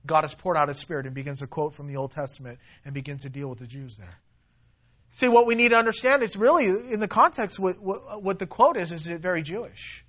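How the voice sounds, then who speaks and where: A man preaching a sermon to a congregation, emphatic and repetitive, swinging between loud stresses and quiet asides. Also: fairly high pitch, lively intonation, a little fast, muffled recording. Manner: emphatic, repeats himself, rises and drops in volume. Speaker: pastor.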